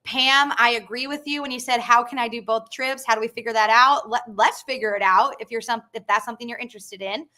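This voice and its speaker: A young woman hosts a casual live stream about a group tour, speaking in a chatty, upbeat way and filling pauses with um. Her voice is bright and crisp and sits a little high. She reads out viewer comments and answers them warmly. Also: steady tone. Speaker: travel company host.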